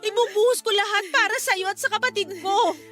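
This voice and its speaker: A woman's voice: very high-pitched, fairly quick, music in the background. An actress playing an earnest, protective young eldest daughter who pleads emphatically with her mother in a radio drama. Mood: tearful, reassuring. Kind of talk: Tagalog radio drama acting.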